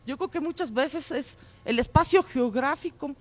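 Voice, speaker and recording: A Mexican woman speaking spontaneously in a live interview, expressive and repeating words for emphasis. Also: sits high, moderate pace. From Chiapas, Mexico. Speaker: festival organizer, guest.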